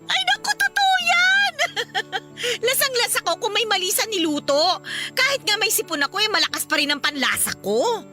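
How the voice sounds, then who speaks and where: A woman's voice: very high-pitched, sing-song, moderate pace, over music. A woman playing a talkative, brazen, teasing wife in a radio drama, chattering and needling with exclamations. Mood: mocking.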